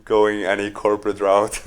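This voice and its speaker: A man's voice: fairly low pitch, level tone, measured pace. A man from Switzerland chatting casually as a podcast guest, informal and peppering his explanations with filler words. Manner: informal, frequent hedging with "like". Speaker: podcast guest, online entrepreneur. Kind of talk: casual interview chat.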